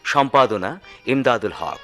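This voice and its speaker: Man, mid-pitched voice, formal radio announcer, measured credits reading, music underneath. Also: lively intonation, a bit bright. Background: music behind the voice.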